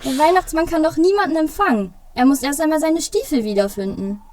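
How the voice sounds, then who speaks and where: Woman as high-voiced bossy helper elf. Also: expressive, a little fast, a little mellow, soft background music. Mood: worried. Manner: commanding, exclaiming. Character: bossy, worried helper elf guarding Santa's door. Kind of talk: family Christmas audio play.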